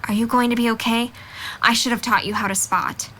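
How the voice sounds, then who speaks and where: Bright, earnest young woman, played by an actress. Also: a little high, steady tone, fairly quick, a little hiss or hum. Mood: concerned. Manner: patient, reassuring, sincere. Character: kind, earnest, encouraging young female friend. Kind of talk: audio drama dialogue.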